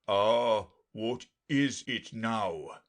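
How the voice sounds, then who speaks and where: Man playing a haughty, slow-talking storybook unicorn. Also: low voice, sharp tone, full character voice. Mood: eager. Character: haughty dreamy unicorn, eager, sly and boastful. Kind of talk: storybook character reading.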